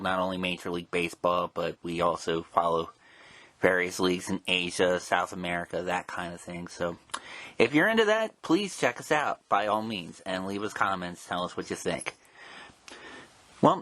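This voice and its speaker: A man's voice: lowish voice, fairly bright tone, dry recording. A man hosting a comics show, talking casually through introductions and announcements.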